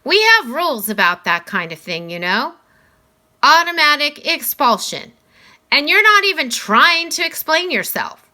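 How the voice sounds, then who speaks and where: A woman voicing a kindly middle-aged male school principal in a children's storybook, speaking hesitantly and gently but firmly. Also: high-pitched, sing-song, a little slow, voice pushed up, heavily acted. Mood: stern, perplexed. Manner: hesitant, explanatory, gently firm. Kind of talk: children's novel read aloud.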